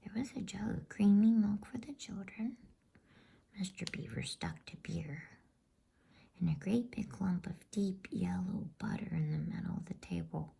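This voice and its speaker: A woman storyteller reading a bedtime story in ASMR style with a soft, hushed whisper. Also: on the low side, vocal fry, slightly rough. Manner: soft whispering, soothing hush.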